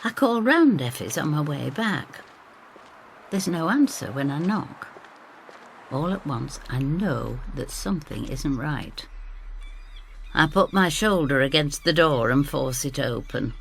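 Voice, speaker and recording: A woman's voice: low-pitched, expressive, unhurried, creaky, a little hiss or hum, full character voice. An actress playing a stubborn, loyal, chatty older Northern English woman who tells her story in an audio drama. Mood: wry, confiding. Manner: chatty, gossipy, blunt Northern phrasing.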